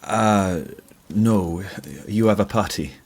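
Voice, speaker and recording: A man playing a shy, courteous, gentle professor in a period audio drama, with faint noise and clicks. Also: on the low side, takes it slowly.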